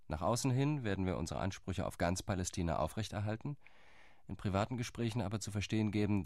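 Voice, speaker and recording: A measured male diplomat in a radio play, calculating and reserved, his voice low and deep, as an actor plays him. He reports in an even, sober tone. The studio sound is close and dry. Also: no room sound. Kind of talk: radio drama monologue.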